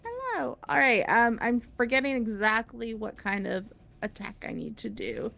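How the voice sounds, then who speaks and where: A woman's voice: a little high, animated, normal speed, gravelly, fairly bright tone, faint music behind. A woman playing in a tabletop game, rambling and giggling as she describes her character. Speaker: player. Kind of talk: casual tabletop roleplay.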